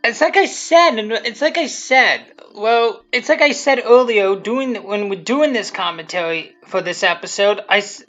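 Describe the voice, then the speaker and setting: A person giving rambling, unscripted commentary on their own video, halting and repeating themselves, with blunt, self-deprecating swearing. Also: medium pitch, lively intonation, fairly bright tone. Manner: halting, repetitive, often restarting sentences. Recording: muffled lo-fi audio, music behind.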